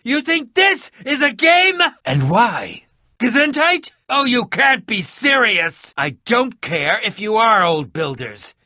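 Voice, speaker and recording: A man's voice: very high-pitched, very animated, takes it slowly, sharp tone, no room sound, studio quality, exaggerated voice. An actor playing an eccentric, prickly male adventurer in a video game, with a pompous, melodramatic and sardonic manner. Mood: indignant, alarmed. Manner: theatrical, pompous, sardonic, formal wording. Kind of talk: animated video game voice acting.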